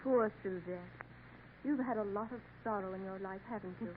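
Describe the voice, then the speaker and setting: An actress playing a kind, tactful young café worker in a 1950s radio drama, soft and sympathetic. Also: female voice, mid-range voice, moderate pace, close to an everyday voice. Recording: tinny old radio broadcast, faint hiss.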